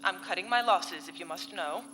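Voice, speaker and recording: A woman's voice: lowish voice, moderate pace, bright, forceful tone. A woman playing a scheming, haughty space villainess in a live comedic radio serial, theatrical and biting. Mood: exasperated. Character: scheming female space villainess, haughty, exasperated arch-nemesis. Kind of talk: live comedic sci-fi radio play in 1940s serial style. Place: convention hall stage before live audience. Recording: reverberant live hall, faint music.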